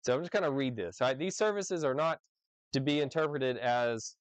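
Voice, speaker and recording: A man's voice: mid-range voice, moderate pace, no room sound. A man teaching informally as an instructor, reading out rules and explaining them conversationally, often checking in with "right?". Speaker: flight instructor leading a discussion.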